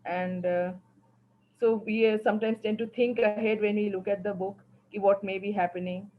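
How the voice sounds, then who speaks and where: A woman's voice: mid-range voice, flat delivery, normal speed. A woman with an Indian accent giving reflective feedback as a participant in a workshop. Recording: tinny phone-like call, some background noise.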